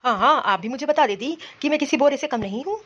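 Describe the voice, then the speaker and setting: A woman's voice: high-pitched, lively intonation, fast talker, a bit bright. A woman playing a boastful, touchy balding bachelor in a comedy cartoon, bantering with self-satisfied retorts. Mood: mocking. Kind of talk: Hindi comedy cartoon dialogue. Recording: dull, lo-fi cartoon audio.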